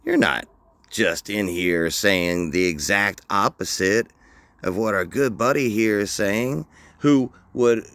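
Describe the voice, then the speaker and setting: Sarcastic low-voiced man, slow and lively. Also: no room sound. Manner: mocking, sarcastic, deadpan. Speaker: commentary host. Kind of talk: sarcastic commentary over clips.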